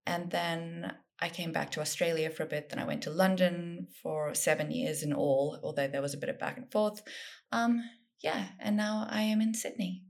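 A woman's voice: lowish voice, moderate pace, gravelly, darkish tone. A woman from South Africa, a guest author in a casual interview, thinking aloud with plenty of ums and restarts. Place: home in Sydney.

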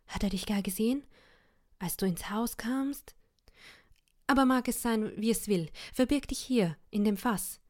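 Woman voicing a shrill scolding young wife. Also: unhurried, close to an everyday voice. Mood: alarmed. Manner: shrill, indignant, self-pitying laments. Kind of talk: audiobook reading of a classic tale.

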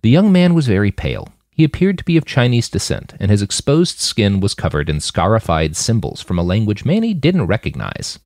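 Male fiction podcast narrator, highly animated delivery, dry intimate sound. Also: fairly quick, hardly any pauses, no room sound. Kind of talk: scripted audiobook narration with character voices.

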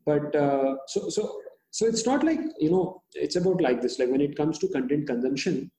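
An Indian-accented man, a native Hindi speaker, answers interview questions in a halting way, full of restarts and fillers. His voice is mellow and dark, and the room gives it a noticeable echo. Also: on the high side, lively intonation. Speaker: startup founder, guest. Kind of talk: interview conversation.

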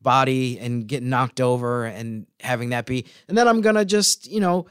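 A man's voice: medium pitch, moderate pace, fairly bright tone, clear, resonant tone, no echo, clean studio sound. A man co-hosting a sports podcast, explaining plays in casual, conversational analysis.

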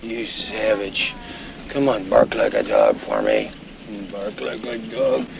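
A man voicing a menacing brute who drags out every taunting word in a low, gravelly voice. The voice sounds far from the mic and dull, as if from an old clip, with a noisy crowd behind it. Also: wildly swooping pitch, takes it slowly, heavily acted. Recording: muffled, distant clip over a crowd.

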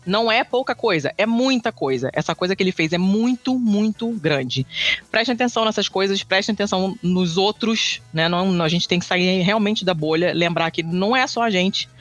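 A man's voice: high-pitched, animated, moderate pace, fairly bright tone, highs cut off, faint music behind. A man from Brazil co-hosting a casual podcast, rambling with many restarts and fillers. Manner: rambling, many restarts and fillers, admits never being brief. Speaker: podcast co-host. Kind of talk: casual chat.

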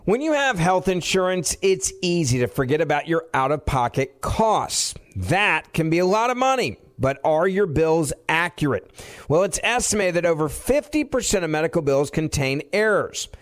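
A man's voice: fairly high pitch, very animated, moderate pace. A man narrating a scripted advertisement as an announcer.

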